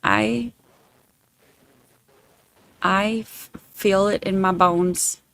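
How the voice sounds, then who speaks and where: A woman's voice: medium pitch, steady tone, very slow, gravelly, fairly bright tone, dry recording. A woman with a Southern Georgia accent hosting a casual livestream, rambling and self-deprecating as she chats to her viewers. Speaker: livestream host covering trials.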